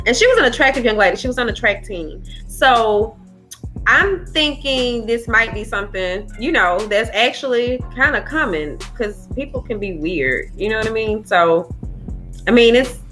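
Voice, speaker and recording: A bright-voiced American woman chats casually as a podcast co-host, with music behind her. She talks tentatively, working out her thoughts as she goes. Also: on the high side, lively intonation, moderate pace. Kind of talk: casual chat.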